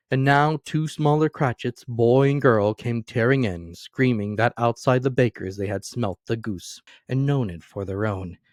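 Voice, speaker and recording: A man narrating a classic story as a dramatic reading, expressive and theatrical. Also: fairly low pitch, dry recording, studio quality.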